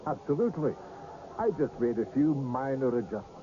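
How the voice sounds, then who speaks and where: An actor playing a courteous, mysterious older gentleman in a radio drama, speaking in a formal, measured and assured way. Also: mid-range voice, expressive, background noise, lightly acted. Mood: breezy, reassuring. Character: courteous, mysterious old gentleman who grants second sight. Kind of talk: 1980s radio drama acting. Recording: muffled old radio drama recording.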